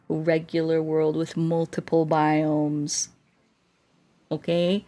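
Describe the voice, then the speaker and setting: A woman doing casual, playful game livestream commentary, chatting to viewers and often repeating her words and phrases. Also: on the low side.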